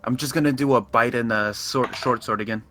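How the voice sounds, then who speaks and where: A man plays a tabletop roleplaying game and talks through his moves casually. His voice sits at an average pitch and has a bright, sharp edge. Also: a little fast, slight background noise.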